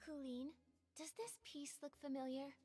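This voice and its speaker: High-voiced, insistent female investigator played by an actress. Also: level tone, moderate pace, dark tone, soft background music, lightly acted. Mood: accusing. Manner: insistent, accusatory, articulate. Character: determined young female investigator pressing a suspect. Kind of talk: video game voice acting.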